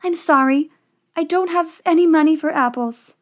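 A woman's voice: moderate pace, natural voice. A woman voicing a young fairy-tale princess, sweet, earnest and brave. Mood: apologetic. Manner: bright, earnest, higher than narration.

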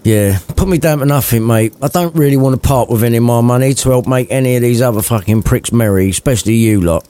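A man's voice: mid-range voice, fast, mellow, smooth, voice pushed up, close to an everyday voice. A man doing a foul-mouthed grumpy old miser. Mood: snarling. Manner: swearing, snarling, dismissive.

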